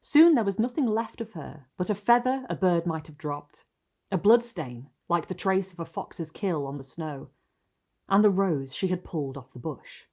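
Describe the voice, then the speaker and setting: A woman hosting and reading literary stories aloud in an expressive, theatrical style with deliberate phrasing. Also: on the low side. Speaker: host and reader of a literary video series. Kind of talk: dramatic storytelling, scripted narration.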